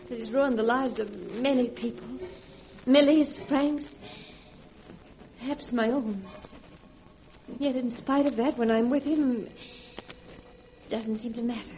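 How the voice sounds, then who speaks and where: A woman's voice: fairly high pitch, a little mellow. An actress playing an earnest, conflicted young woman in a fervent 1940s radio drama style. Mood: confused, brooding. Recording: noisy vintage 1940s radio recording.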